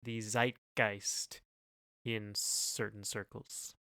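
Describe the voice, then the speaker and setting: A man's voice: on the low side, little pitch movement, very slow, bright, forceful tone, dry recording, clean studio sound. A man talking casually and off the cuff as a podcast co-host, hesitant and hedging, often trailing off mid-thought.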